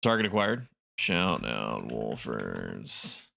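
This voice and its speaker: A man's voice: a bass voice, huge pitch swings, slow pace, vocal fry, sharp tone. A man live-streaming a video game, rambling casually and laughing often as he plays. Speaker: VTuber streamer. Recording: game music playing under the voice. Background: game music.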